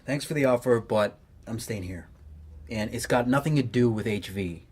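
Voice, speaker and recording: A man's voice: fairly low pitch, normal speed, darkish tone, slightly rough. An actor playing an awkward, wisecracking young male graduate student in a radio drama, stammering and self-deprecating. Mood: wry banter. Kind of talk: naturalistic radio drama acting. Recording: radio drama with background noise.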